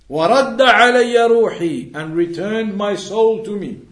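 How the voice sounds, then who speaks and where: A man giving a religious lesson, explaining didactically and repeating key phrases for emphasis. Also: sits high. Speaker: religious teacher. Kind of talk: religious lesson, reading Arabic text and explaining it in English. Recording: roomy, echoing sound.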